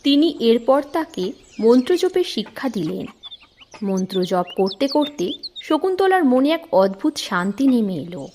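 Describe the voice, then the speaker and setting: A woman's voice: a little high, big pitch swings, unhurried, warm tone, slight background noise. A woman narrating an audio story in a plain, scripted storytelling manner.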